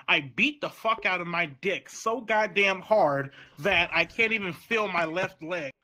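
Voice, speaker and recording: A man's voice: sits high, a little slow, pushed and bright. A man in game voice chat doing crude, boastful trash talk. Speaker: fellow VR game player.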